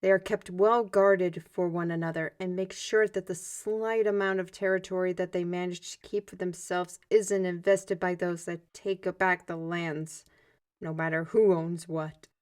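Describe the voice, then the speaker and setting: A woman playing a young, learned squire in an audio roleplay, explaining things in an animated, chatty manner. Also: lowish voice, measured pace, close to an everyday voice. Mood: explanatory.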